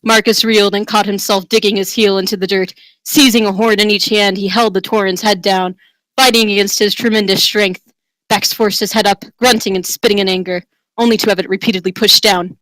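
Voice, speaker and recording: A woman's voice: medium pitch, steady tone, a little fast, a bit bright, no echo, poor audio. A woman giving a theatrical, tongue-in-cheek dramatic reading of a fantasy romance. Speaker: reader.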